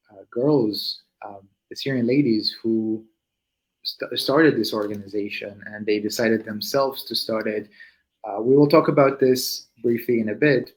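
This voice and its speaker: A man's voice: mid-range voice, a little mellow, poor audio. A man hosting an online interview over a video call, polite and hesitant with many restarts. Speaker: host.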